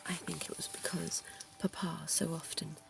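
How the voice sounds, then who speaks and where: A woman voicing a centuries-old, genteel female vampire dentist in an ASMR roleplay, speaking in a soft, posh, coaxing whisper. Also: low voice, little pitch movement, normal speed, vocal fry, over music. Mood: soothing.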